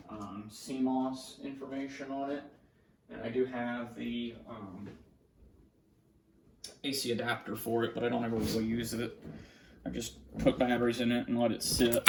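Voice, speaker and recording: Guy w gravelly fry, mid pitch, talking casually to himself while tinkering, bit of background noise. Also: steady tone, moderate pace. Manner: muttering to himself as he works. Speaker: hobbyist vlogger showing a radio collection. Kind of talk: casual unscripted hands-on commentary. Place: small indoor room at a cluttered desk.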